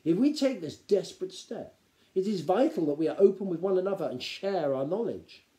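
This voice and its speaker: Man acting female gnome, storybook, raised pitch, stern, crisp wording, formal, firm. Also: lively intonation, brisk, mellow, echoey room.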